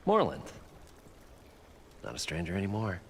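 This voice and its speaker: A man acting as an eccentric, proud inventor and salvager in a video game, with a husky voice and showy, eager delivery. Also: sits low, wildly swooping pitch, normal speed, in a large hall, slight background noise, exaggerated voice.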